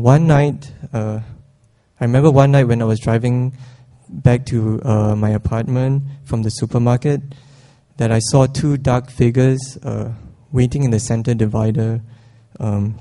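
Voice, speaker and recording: A young man from Singapore giving a prepared church testimony, hesitant and full of fillers, with a voice he says others call whiny and robotic. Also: medium pitch. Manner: hesitant, many fillers, soft-spoken.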